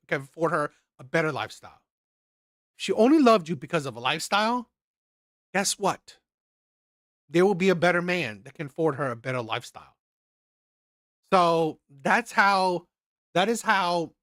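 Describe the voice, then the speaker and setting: A man's voice: a little high, fairly bright tone, no room sound, studio quality. A man hosting a commentary channel, giving an opinionated monologue and repeating his points for effect. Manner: emphatic, repeats phrases for effect.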